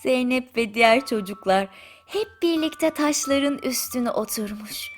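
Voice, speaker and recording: A woman narrating a bedtime story in a soothing, gentle manner with drawn-out pauses. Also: on the high side, lively intonation. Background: music.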